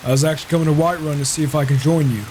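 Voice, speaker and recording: A man roleplaying a reclusive, self-assured mercenary warrior in a video game, talking in a measured, musing way. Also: on the high side, steady tone, darkish tone, lightly acted, low-quality recording. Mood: guarded, curious. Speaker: roleplaying player. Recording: hissy microphone with rain and thunder. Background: rain and thunder.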